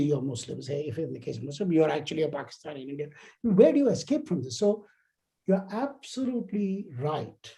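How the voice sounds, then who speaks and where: Measured male lecturer with a mellow voice. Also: high-pitched. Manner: measured, emphatic, explanatory. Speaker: guest lecturer. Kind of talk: academic lecture and Q&A answer. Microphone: online video call.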